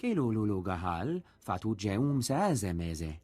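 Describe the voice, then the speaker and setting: A man voicing a shady alien underworld dealer in a video game, with a rough, husky voice. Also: fairly low pitch, big pitch swings, measured pace, a little mellow, no echo. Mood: shady dealing. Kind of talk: video game voice acting in invented alien tongue.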